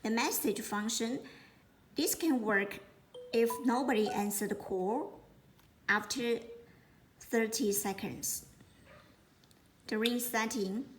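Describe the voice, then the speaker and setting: A woman giving an unscripted product demonstration, speaking haltingly and often repeating words as she explains. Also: a little high, slight background noise. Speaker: product presenter.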